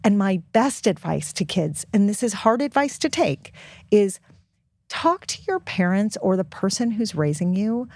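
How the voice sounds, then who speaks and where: A woman's voice: mid-range voice, sing-song, measured pace, darkish tone, dry recording, clean studio sound. A woman speaking as an expert podcast guest, giving frank, informal and emphatic advice to young listeners. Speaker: guest author and health expert.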